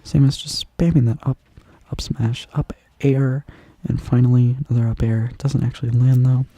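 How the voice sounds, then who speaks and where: A man's voice: medium pitch, steady tone, normal speed, warm tone, no room sound. A man with a stuffy, congested nose, casually commentating a game tournament, rambling with lots of ums and restarts.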